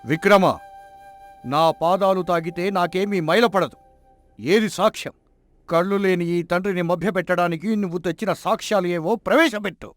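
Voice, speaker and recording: Man playing a shrewd blind king, higher-pitched voice. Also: faint music behind, natural voice. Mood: commanding. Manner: deliberate, probing, sarcastic questioning. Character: wise blind king, shrewd and righteous father. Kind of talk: dramatised Telugu folk tale acting.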